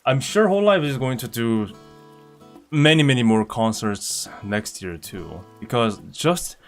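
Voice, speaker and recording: Relaxed young man chatting over guitar. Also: mid-range voice. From Indonesia. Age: young adult. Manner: relaxed, rambling with fillers and restarts. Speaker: streamer. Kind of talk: casual chat reading viewer comments. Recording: faint guitar music behind.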